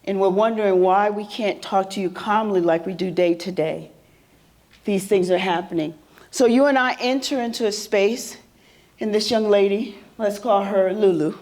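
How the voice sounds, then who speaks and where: A woman's voice: mid-range voice, darkish tone. A woman presenting a workshop to a live audience, talking conversationally with a soothing voice and regularly asking the room questions. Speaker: presenter at a mental health symposium.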